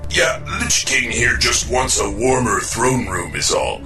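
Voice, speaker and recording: Guy doing a dopey laid-back minion, slow talker, animated pitch, comedy radio play, music behind. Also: lightly acted. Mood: oblivious. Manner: slow, breezy, dim. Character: clueless, laid-back male underling, casual and unhelpful. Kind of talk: comic radio play as a voice chat. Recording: echoey voice chat, music behind.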